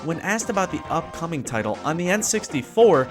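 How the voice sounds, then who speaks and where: A man's voice: on the high side, very animated, a little fast, over music. A man narrating a gaming tournament video in a casual, scripted style.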